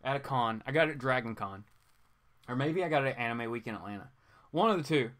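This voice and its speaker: A man hosting a casual livestream, chatting to viewers in a rambling, animated, geeky way. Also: mid-range voice, fast talker. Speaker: host of a comics reading show.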